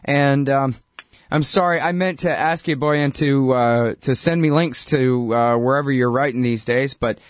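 A male talk-show host keeps things loose and friendly, slipping in little apologies as he goes. His voice sits at a middle pitch. It is recorded close and dry, with no room sound. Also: measured pace, no room sound. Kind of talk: casual interview chat.

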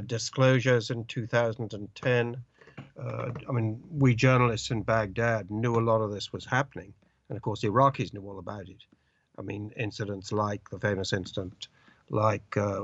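Older British male journalist, halting delivery, lo-fi dull phone-in sound. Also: mid-range voice, dry recording. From Britain. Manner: halting, searching for words with many uh's. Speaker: journalist guest. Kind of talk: interview over the phone. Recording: dull lo-fi line, dry.

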